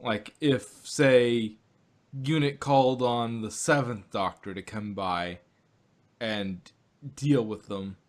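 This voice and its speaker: A man's voice: medium pitch, takes it slowly. A man co-hosting a fan podcast review, talking casually and rambling with lots of fillers and restarts. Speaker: podcast co-host.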